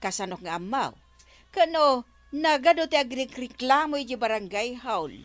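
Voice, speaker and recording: A woman playing a grumbling, slow-witted village woman in an Ilocano radio comedy, complaining haltingly in an Ilocano accent. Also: high-pitched, lively intonation, no room sound, soft background music. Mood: indignant.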